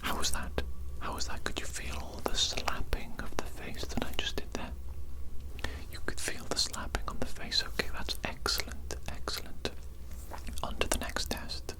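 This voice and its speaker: A woman whispering softly and breathily in an ASMR roleplay as a politely menacing evil doctor, slowly repeating soothing phrases. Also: rough recording. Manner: slow, repetitive, softly polite.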